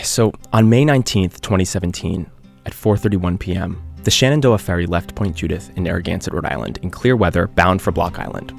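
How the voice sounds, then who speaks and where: Earnest radio host, low gravelly voice, confessional mystery podcast narration over music. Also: male voice. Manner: earnest, measured, conversational storytelling. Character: earnest male island radio host recounting an eerie unsolved mystery. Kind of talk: scripted audio drama narration, confessional podcast.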